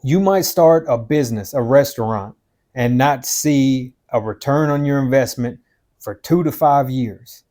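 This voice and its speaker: A man's voice: mid-range voice, a little slow, dark tone. Blunt, emphatic man in his fifties. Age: 50s. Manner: blunt, emphatic, repeats himself, calls the listener "man" and "dude". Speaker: podcast host giving life advice. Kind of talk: motivational advice talk.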